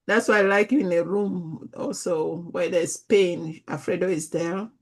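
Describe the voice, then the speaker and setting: A woman's voice: lowish voice, steady tone, measured pace. A woman from Africa, a chaplain in a peer review group, who talks through her thoughts in broken, restarting phrases. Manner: warm, restarting, thinks aloud in fragments. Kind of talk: group discussion.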